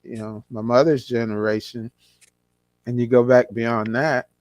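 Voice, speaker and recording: A man's voice: mid-range voice, fairly even intonation, a little slow, a little mellow, dry recording. A man in his sixties, from California, reminiscing as an interview guest in a rambling way, with many restarts. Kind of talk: casual conversation.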